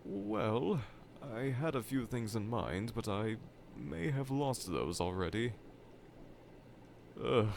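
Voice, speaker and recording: Evil scientist for audio roleplay: vain, needy, melodramatic, very animated intonation, unhurried delivery, light lab ambience behind. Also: male voice, mid-range voice, creaky, a little hiss or hum, full character voice. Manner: pompous, formal, melodramatic diction. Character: dejected middle-aged male evil scientist, theatrical, vain, needy. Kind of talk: fan audio roleplay monologue addressed to listener. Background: laboratory ambience.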